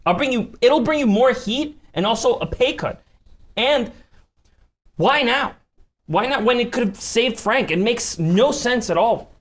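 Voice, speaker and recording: A man's voice: very high voice, expressive, a little slow, heavily acted. An actor at a table read playing a worried mobster who presses his boss with questions. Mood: pressing. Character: worried, pressing male mobster, the boss's confidant. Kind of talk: live script table reading.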